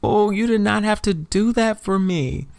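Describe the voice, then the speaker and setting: Man voicing a slow-spoken, sweet young woman. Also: sits high, animated, creaky, a little mellow, pitched above the performer's own voice, full character voice. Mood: delighted. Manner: sweet, sincere. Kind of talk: romantic audio drama dialogue and narration.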